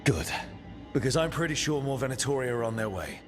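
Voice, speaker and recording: An actor playing a resolute young adventurer leading a rescue in a fantasy game, talking in a direct, businesslike way. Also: male voice, medium pitch, animated, a little fast, close to an everyday voice. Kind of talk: cinematic fantasy game voice acting. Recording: loud music behind the voice. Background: loud game music.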